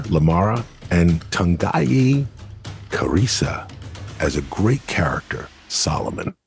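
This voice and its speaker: A middle-aged American man with a husky voice, giving conversational commentary as a podcast co-host. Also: low-pitched, darkish tone.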